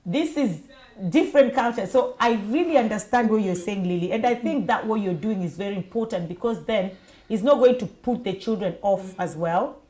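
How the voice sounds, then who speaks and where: A woman hosting a casual panel talk, telling stories with lively acted-out voices. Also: medium pitch, normal speed. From Kenya. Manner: animated storytelling, acts out voices. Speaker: talk show host.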